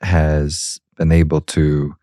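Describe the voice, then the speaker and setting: Deep voiced guy cohosting a podcast, chill curious questions, dry close sound. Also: slow, dry recording. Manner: animated, curious, casual slang. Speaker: podcast co-host. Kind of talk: casual interview chat.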